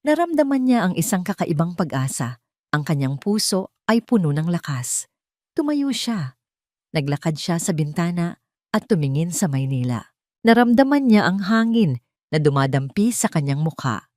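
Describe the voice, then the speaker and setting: A woman narrates a melodramatic story from a script. Her voice sits low, and the sound is dry, with no room echo. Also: a little mellow. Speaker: audio drama narrator.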